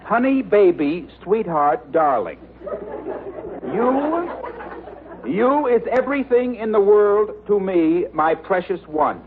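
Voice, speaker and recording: An actor playing a theatrical trial attorney in an old radio sitcom, speaking in a pompous, deliberate, pressing way. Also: male voice, high voice, big pitch swings, full character voice. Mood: mock-romantic reading. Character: stern, theatrical male trial attorney cross-examining witnesses. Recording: tinny noisy old radio, studio audience.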